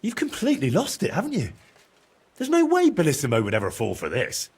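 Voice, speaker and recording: Young adventurer, video game dialogue, husky, lively intonation, practical and sceptical, music behind. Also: male voice, on the high side, brisk, heavily acted. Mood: incredulous.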